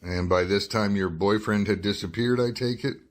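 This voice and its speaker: A hard-boiled male reporter played by a man with a low, deep voice in a radio detective play. He is dogged and probing, with a wry touch. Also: normal speed, close to an everyday voice. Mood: pressing. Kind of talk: radio detective drama acting and first-person narration.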